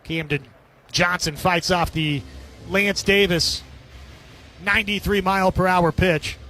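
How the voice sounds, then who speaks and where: Male sports radio commentator, high voice, live baseball play-by-play in short clipped phrases. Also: steady tone, unhurried, sharp tone.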